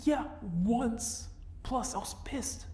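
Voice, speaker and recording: An actor playing a reckless, excitable young rebel brother in a sci-fi audio drama, with casual, expressive, slangy delivery. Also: male voice, very high-pitched, slow, full character voice. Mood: teasing. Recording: clear background noise, ambience.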